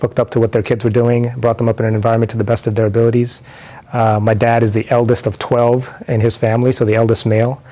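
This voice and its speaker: A man's voice: mid-range voice, dark tone. A man in his forties from New York City, telling his life story in a thoughtful, rambling way, with many fillers and restarts. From New York City, United States. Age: 40s. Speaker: interviewee sharing his conversion story. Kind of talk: personal testimony, unscripted.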